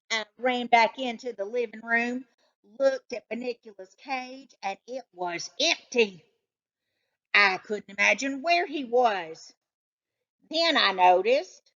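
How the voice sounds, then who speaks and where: A woman voicing an excitable, suspicious male cat from a children's storybook, telling his tale with dramatic suspense. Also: a little high, dull audio. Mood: suspenseful.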